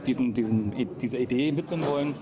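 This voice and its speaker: A man speaks for a project in an unscripted interview. His delivery is quick and his pitch is medium. Crowd chatter is audible behind him. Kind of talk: spontaneous interview statement.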